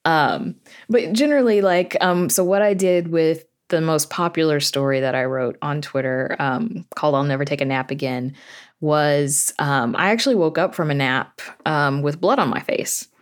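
A woman with a low voice speaks as an interview guest. Her delivery is informal and reflective, in the manner of someone telling a story in conversation. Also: normal speed. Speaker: guest, horror fiction writer and podcast creator.

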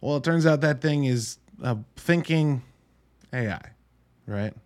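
A man's voice: a little high, unhurried, darkish tone. A man hosting a podcast solo, talking through a movie review in a wry, conversational way with emphatic asides. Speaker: podcast host.

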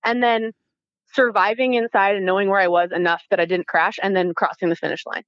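A Canadian-accented woman telling stories casually as an interview guest, animated and informal, acting out bits of dialogue as she goes. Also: medium pitch, a little fast, no echo, dull audio. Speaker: interview guest, former Olympic athlete. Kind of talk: casual conversational storytelling.

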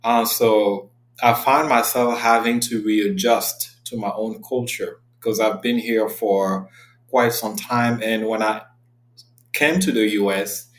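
Earnest Cameroonian-born man, low voice. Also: steady tone, takes it slowly, low-quality recording. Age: 30s. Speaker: podcast guest. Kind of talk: casual interview.

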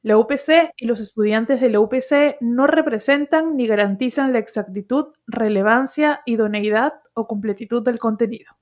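A woman reading a formal disclaimer in an even, formal way. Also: on the high side, moderate pace, hardly any pauses. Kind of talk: read legal disclaimer.

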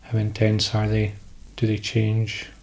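A man guiding a sleep meditation in calm, instructional short phrases with pauses between them. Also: fairly low pitch. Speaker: meditation guide.

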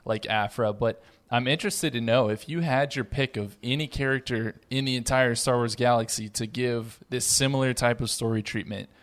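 A man's voice: medium pitch, normal speed, few pauses. A man hosting a podcast interview, asking questions in a conversational style.

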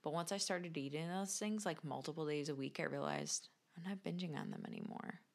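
A woman's voice: sits low, fairly quick, gravelly. A woman chatting casually on a podcast, talking reflectively about her own experience. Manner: reflective, sharing personal experience. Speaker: podcast speaker on health and nutrition. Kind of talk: casual conversational chat.

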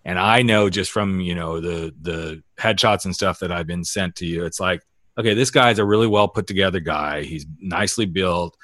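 Candid low-voiced middle-aged man host. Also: moderate pace, dry recording, clean studio sound. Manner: candid, animated storyteller who acts out quoted dialogue. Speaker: podcast host and author. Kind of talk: casual conversation. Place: US West Coast.

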